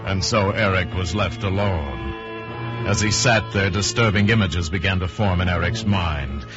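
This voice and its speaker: Low-voiced man, old radio storyteller. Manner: deliberate, theatrical, suspenseful delivery. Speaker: storyteller narrator. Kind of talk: mid-century radio drama narration. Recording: muffled old radio recording with music. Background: dramatic music.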